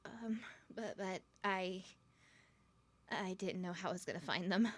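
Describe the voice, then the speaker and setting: A woman's voice: lowish voice, unhurried, a bit bright. A woman playing a nervous, smitten young woman on a first date in an ASMR roleplay, stuttering and rambling with flustered giggles. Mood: shy, earnest.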